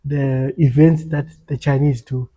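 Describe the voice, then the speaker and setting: Earnest young man with a husky voice. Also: mid-range voice, level tone, unhurried, dark tone. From Africa. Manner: earnest, repeats words and restarts often. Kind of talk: casual podcast conversation.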